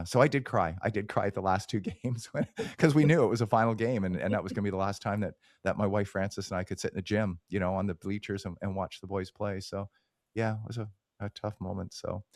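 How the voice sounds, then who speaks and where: A man's voice: lowish voice, a little fast, no room sound, studio quality. A man hosting a podcast, chatting in a candid, self-deprecating way with his guests. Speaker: podcast host. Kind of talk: casual interview chat.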